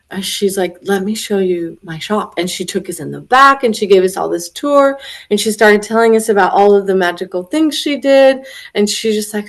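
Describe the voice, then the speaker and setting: A young woman in casual conversation, animated and laughing as she acts out quoted voices, a little stuffy from a head cold. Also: mid-range voice. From Florida. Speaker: retreat attendee.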